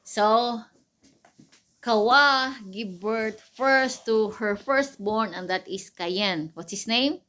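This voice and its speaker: Slow-speaking woman teaching a child. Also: a little high, pushed and bright. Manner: patient, questioning, simple repetitive phrasing. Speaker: mother teaching her child. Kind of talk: Bible story lesson with quiz questions for a child.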